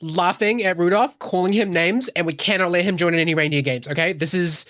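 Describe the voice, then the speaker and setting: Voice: mid-range voice, a little fast, a bit bright, no echo. A tabletop game player, bantering casually and half in character.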